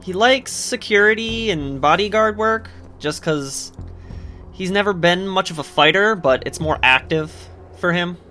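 A man's voice: high voice, unhurried, sharp tone. A man chatting casually as a player in a tabletop role-playing game. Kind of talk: casual chat about his game character. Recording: music under the voice. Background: music.

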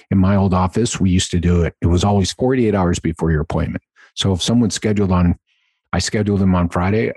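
A man with a very low voice speaks as a coach hosting his own show, in a dry, close recording with little room sound. His delivery is conversational but emphatic, circling back to key points and tagging them with quick check-ins. The voice has a slightly husky edge. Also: a little mellow, no echo. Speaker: podcast host and sales coach. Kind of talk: solo instructional talk, conversational.

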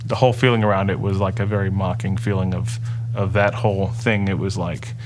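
A middle-aged man whose first language is Dutch shares his story in a conversational interview. His voice sits a little low. He talks earnestly, often pausing and restarting mid-sentence. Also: fairly even intonation, noisy background. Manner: earnest, halting, many restarts and fillers. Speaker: interview guest. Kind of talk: personal testimony in conversation.

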